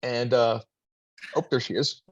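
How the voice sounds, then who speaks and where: A man's voice: medium pitch, fairly even intonation, a little slow, dry recording, highs cut off. A man making casual, rambling remarks to his society's members on a video call. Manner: rambling, full of fillers and restarts.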